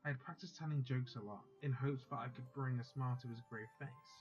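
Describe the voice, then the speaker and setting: A man's voice: mid-range voice, steady tone, a little fast, darkish tone, dull audio, soft background music. A man hosting a let's play, chatting casually and then reading a visual novel's text aloud.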